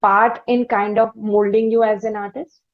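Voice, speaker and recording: A woman hosting an interview, phrasing long questions in a measured, careful, conversational way. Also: mid-range voice, flat delivery, phone-quality sound. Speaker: interviewer, podcast host.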